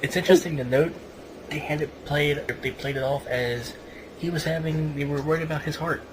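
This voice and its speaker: A man's voice: a little high, steady tone, brisk. A man hosting a podcast, giving a casual, sarcastic play-by-play recap. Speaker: podcast host. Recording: clearly noisy home podcast recording.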